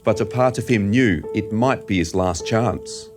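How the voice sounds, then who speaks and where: Male story narrator, lowish pitch, measured and deliberate delivery over music. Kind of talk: scripted dramatic story narration.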